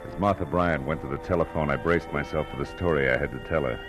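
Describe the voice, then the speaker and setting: An actor playing a tough but compassionate private detective, terse and world-weary, in 1940s radio noir. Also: male voice, a bass voice, fast talker. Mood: reflective, weary. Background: dramatic music.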